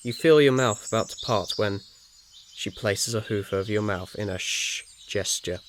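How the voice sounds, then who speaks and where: Casting: male ASMR storyteller, lower register, calm measured scripted narration over faint outdoor ambience. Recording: faint noise, nature ambience behind. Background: birdsong, insects, nature ambience.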